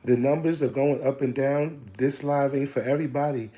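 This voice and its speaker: Man, a bit hoarse, mellow low tone, repetitive motivational coach. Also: steady tone, moderate pace. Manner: preachy, repeats lines, calls listeners "family". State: slightly hoarse. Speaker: digital marketing coach. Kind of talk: casual motivational live stream.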